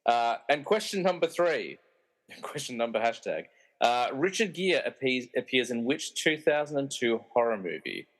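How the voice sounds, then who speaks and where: A man's voice: medium pitch, big pitch swings, normal speed. Tongue-in-cheek male narrator. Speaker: narrator and quiz master of a podcast radio play. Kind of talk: scripted comic narration with casual quiz banter.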